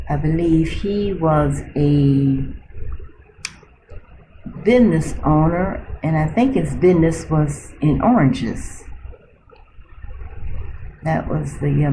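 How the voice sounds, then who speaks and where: Slow-spoken older woman recalling the past. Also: deep voice, warm tone, a little hiss or hum, low-quality recording. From Florida. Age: 70s. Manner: slow, thoughtful, pausing to recall names. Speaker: oral history interviewee. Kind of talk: reminiscing interview. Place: library room.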